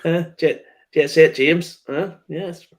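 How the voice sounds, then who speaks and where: A man hosting a casual chat about whiskey tastings, relaxed, laughing often and swearing casually as he restarts his sentences. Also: fairly high pitch, slow. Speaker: host and whiskey tour guide.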